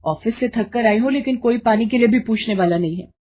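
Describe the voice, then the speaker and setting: A woman plays a proud, sharp-tongued young daughter-in-law in an audio family story. She is bitter and sarcastic, forever complaining, with a husky edge and a pitch that climbs above the storyteller's. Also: brisk. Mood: irritated. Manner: taunting, complaining.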